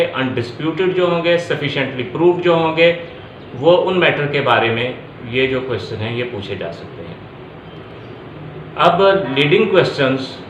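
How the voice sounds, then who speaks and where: A man's voice: fairly high pitch, a bit bright. A man giving an explanatory law lecture, going step by step and repeating key points as he teaches. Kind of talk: explanatory lecture mixing Urdu and English. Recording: echoey room, faint background noise.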